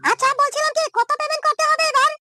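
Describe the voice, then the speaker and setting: Squeaky helpful woman, played by a man, practical, matter-of-fact, rapid, chipmunk effect, comedy cartoon. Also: fairly even intonation, pushed and bright, exaggerated voice. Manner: matter-of-fact, brushing off thanks. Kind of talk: amateur comedy cartoon dubbing.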